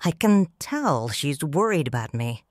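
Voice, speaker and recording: Slow low-voiced woman narrator, tender. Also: sing-song, no room sound, clean studio sound. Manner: slow, soft, tender and reflective. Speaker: first-person narrator, a grieving widow and mother. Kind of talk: scripted audiobook narration.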